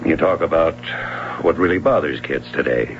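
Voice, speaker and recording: A cagey swim coach, very deep voice, casual, muffled radio with a faint hum. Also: male voice, moderate pace. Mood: guarded. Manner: casual, evasive, chuckling. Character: evasive, offhand male swimming coach. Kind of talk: 1970s radio drama acting.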